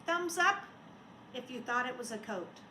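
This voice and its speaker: Slow, expressive woman reading to young children. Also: high voice. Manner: expressive, coaxing, talks directly to young children. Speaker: teacher reading a storybook aloud. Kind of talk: interactive children's read-aloud. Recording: roomy echo, faint background noise.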